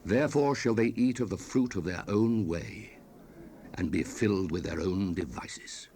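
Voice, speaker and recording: A man's voice: lowish voice, measured pace, dark tone. An actor playing a stern fatherly biblical sage, declaiming scripture solemnly in an archaic style for a dramatized audio Bible. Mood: stern, impassioned. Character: stern fatherly biblical sage, exhorting and warning his son. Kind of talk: dramatized King James scripture reading, 1970s audio production. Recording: noisy raw cassette rip.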